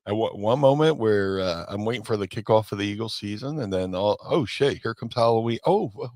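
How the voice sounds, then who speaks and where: A man's voice: on the low side, expressive, fairly quick, a little mellow, no room sound, studio quality. A man, co-hosting a casual, profane chat and rambling with restarts. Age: 50s. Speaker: co-host.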